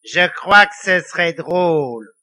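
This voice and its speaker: A man's voice: high-pitched, lively intonation, unhurried, pushed and bright, heavily acted. A man voicing a mischievous, boastful ancient little devil in a children's story, with a sneering, theatrical manner. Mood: scheming. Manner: sneering, theatrical, cackling taunts. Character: mischievous, boastful five-thousand-year-old little devil, male.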